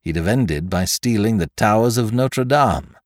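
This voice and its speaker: A man's voice: lowish voice, lively intonation, a little slow, no echo, studio quality. A man reading aloud and voicing an indignant older male magistrate who blusters and protests. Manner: blustering, gruff.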